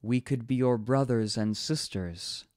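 A man voicing a dignified female alpha wolf who speaks slowly and alluringly, coaxing and hypnotic. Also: medium pitch, a little mellow, no echo, clean studio sound, natural voice. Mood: tempting.

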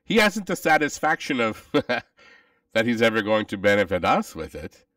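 A man voicing a jovial, good-natured gentleman with an emphatic manner in a storybook reading. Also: fairly low pitch, sing-song, normal speed, no echo, clean studio sound, recorded from a distance. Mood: amused, dismissive.

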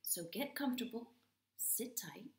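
Woman, 30s, children's teacher and read-aloud storyteller, animated and questioning, with room echo. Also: a little high. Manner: deliberate, questioning, explaining simply to children. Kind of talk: expressive picture-book read-aloud for young learners. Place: small home room, indoors. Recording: roomy home video sound.

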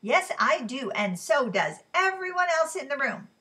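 A woman's voice: on the high side, steady tone, slow, pushed and bright, heavily acted. A woman voicing a stubborn young woman journalist, firm and defiant, in an audiobook. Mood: sharp.

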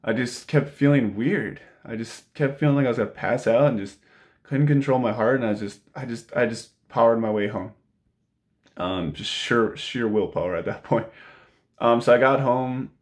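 A man's voice: medium pitch, moderate pace. A man in his late twenties talking casually and earnestly to camera, telling a personal story in informal speech. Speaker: vlogger. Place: indoor room at home.

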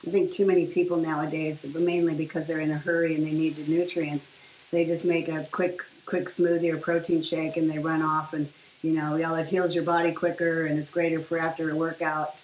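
Woman, middle-aged, lowish voice, rambling chatty talk, home kitchen, echoey room, faint hiss. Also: flat delivery, brisk, a little mellow. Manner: rambling and chatty, many fillers. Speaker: vlogger sharing her diet. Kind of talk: casual chat while cooking. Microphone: camera on the counter at a short distance. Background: food sizzling in a pan.

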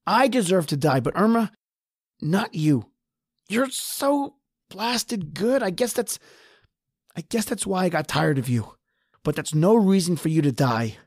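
A man's voice: high-pitched, sing-song, measured pace, pauses often, dry recording, studio quality, exaggerated voice. A man playing a desperate, injured husband trapped underground in a horror radio drama. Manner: pleading, ragged, then defiant.

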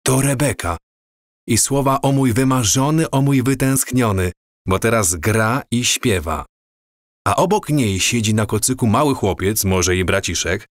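A man playing a dreamy, awestruck wanderer in an audio drama, with a measured, wistful, descriptive delivery. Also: mid-range voice, dry recording, clean studio sound, natural voice. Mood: dreamy, absorbed.

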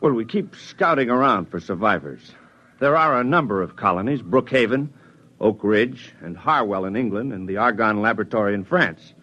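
An actor playing a brisk, kindly scout looking for survivors in a 1950s radio drama. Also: male voice, on the low side, animated, pushed and bright. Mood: informative. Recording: muffled old radio recording.

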